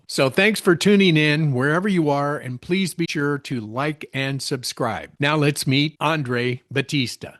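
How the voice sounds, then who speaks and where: A man hosting a podcast, reading a scripted intro and then interviewing his guest in a clear, measured way. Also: a little high, fairly bright tone, no room sound, studio quality. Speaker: podcast host.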